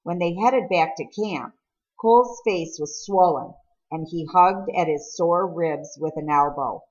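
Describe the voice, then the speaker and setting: Female story reader, slow delivery, lowish voice, slightly lo-fi sound. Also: darkish tone. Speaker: audiobook reader. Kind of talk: scripted story narration.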